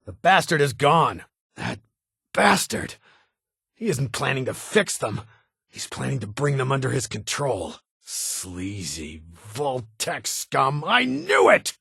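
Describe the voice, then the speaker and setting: An actor playing a stern, guarded army lieutenant colonel in a video game, with formal, clipped, duty-bound delivery and a gravelly edge. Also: male voice, medium pitch, wildly swooping pitch, takes it slowly, sharp tone, no echo, studio quality, full character voice. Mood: angry, alarmed. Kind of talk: video game dialogue acting.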